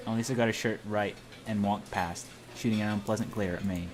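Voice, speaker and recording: A man's voice: lowish voice, fairly even intonation, a little fast, a crowd in the background. A man reading visual novel narration aloud as an amateur during a playthrough.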